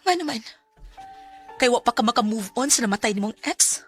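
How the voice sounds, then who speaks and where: A woman's voice: on the high side, lively intonation, fairly quick, soft background music, close to an everyday voice. An actress playing a hurt young woman confronting her lover in a radio drama, with a Cebuano Filipino accent. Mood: bitter, accusing.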